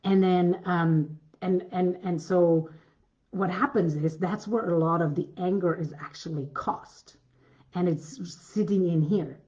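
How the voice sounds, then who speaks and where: A woman with a deep voice giving a coaching masterclass, earnest and repetitive, often restarting her sentences. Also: steady tone, a little slow, dark tone, lo-fi sound. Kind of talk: masterclass talk.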